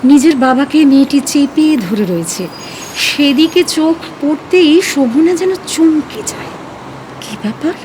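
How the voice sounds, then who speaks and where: Expressive woman narrator, fairly high voice. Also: slight background noise, poor audio. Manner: expressive, emotive storytelling. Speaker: story narrator. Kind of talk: dramatic scripted narration.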